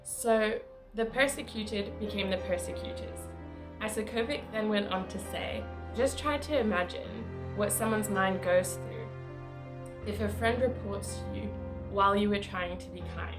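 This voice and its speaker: A woman's voice: medium pitch, measured pace, music in the background. A woman narrating her travel vlog in a casual, chatty way, with small laughs and fillers. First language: not Croatian. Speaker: travel vlogger.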